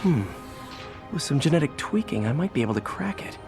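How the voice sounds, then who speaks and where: Young American superhero, lightly high pitch, quippy, earnest, self-narrating, video game, clear music behind. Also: male voice, very animated, brisk. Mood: thoughtful. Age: young adult. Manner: chatty, earnest, wry. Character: upbeat, quippy young male superhero thinking aloud.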